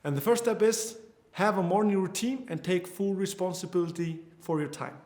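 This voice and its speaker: A man's voice: high-pitched, moderate pace, a little mellow. Earnest young Icelandic man, echoey room. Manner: earnest, with frequent restarts and fillers. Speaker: course instructor. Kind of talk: online course lecture.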